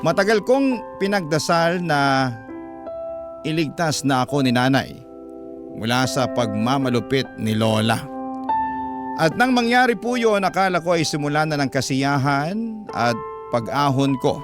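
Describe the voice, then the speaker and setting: A man's voice: a little high, big pitch swings, unhurried, pauses often. A man narrating a letter in the first person in the style of a classic radio drama. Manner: earnest, storytelling. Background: music.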